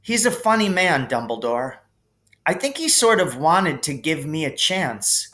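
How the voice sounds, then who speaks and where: A man's voice: fairly high pitch, sing-song, measured pace, pushed and bright, full character voice. A man voicing an earnest, curious schoolboy hero in a thoughtful way.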